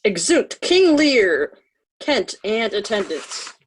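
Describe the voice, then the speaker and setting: A woman's voice: mid-range voice, sing-song, takes it slowly, a little hiss or hum. A woman reading out scene headings and stage directions as scripted narration.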